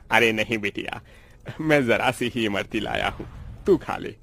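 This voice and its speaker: A man playing a poor, affectionate, self-sacrificing uncle in a cartoon story, gently coaxing and apologetic. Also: fairly low pitch, unhurried, creaky, exaggerated voice. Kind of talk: Hindi cartoon story acting.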